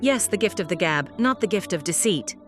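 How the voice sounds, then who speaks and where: A woman's voice: on the low side, lively intonation, fairly quick, a bit bright. Woman doing a defiant mocking lady bird in an audio play, declamatory and taunting, bit of music behind. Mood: indignant. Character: defiant, mocking female bird, disillusioned former propagandist.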